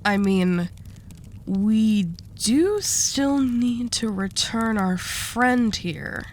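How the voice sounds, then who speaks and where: A woman's voice: a little high, lively intonation, very slow, a little hiss or hum. A woman playing a dominant, seductive mafia boss in a roleplay audio drama, teasing and possessive with a coaxing menace. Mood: calm, calculating.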